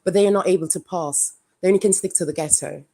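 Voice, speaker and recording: A woman with an English accent talks bluntly and with dark humor, her voice sitting a little low. She is a guest on a casual live stream. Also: quick pace. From Jamaica.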